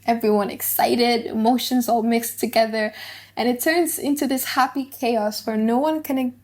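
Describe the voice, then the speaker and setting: A woman playing an ardent young gentleman suitor overwhelmed by love, in a dramatized audiobook. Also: on the high side. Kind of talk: dramatized audiobook of a classic play.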